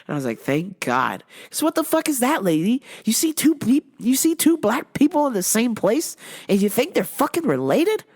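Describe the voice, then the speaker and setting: A young woman whose voice is low and recovering, telling a work story in a profane, animated storytime rant. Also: brisk, husky. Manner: profane, animated, laughs a lot. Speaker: vlogger and retail cashier.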